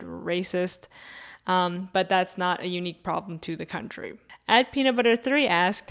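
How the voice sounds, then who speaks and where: Young american woman, vlogger chatting casually, really clear smooth voice, medium pitch. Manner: conversational, frequent "like" and "you know". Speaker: video creator answering viewer questions. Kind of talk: casual chat to viewers.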